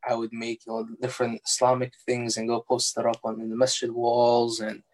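A young man whose first language is Farsi, answering interview questions in a relaxed, halting way with many fillers and restarts. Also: medium pitch. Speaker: interview guest, architectural designer.

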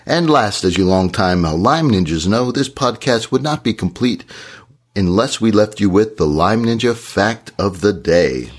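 Man, very low voice, hoarse, earnest podcast host. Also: expressive, unhurried. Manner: earnest, encouraging advice. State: hoarse from shouting. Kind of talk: casual podcast chat.

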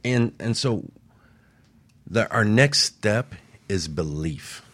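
A man's voice: on the low side, expressive, takes it slowly, a bit bright, dry recording. A man hosting a motivational talk show, speaking in an emphatic, preachy way and repeating phrases for effect.

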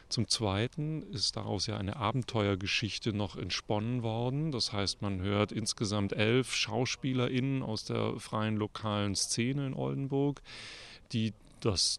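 Lowish, creaky-voiced man explaining. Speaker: theatre project creator being interviewed. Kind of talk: explanatory interview talk.

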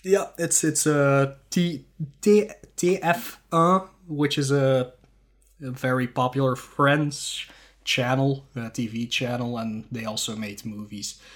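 A man's voice: medium pitch, slow. Man chatting casually on a podcast. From Belgium. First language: Dutch. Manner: relaxed, laughs often, trailing fillers. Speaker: podcast co-host.

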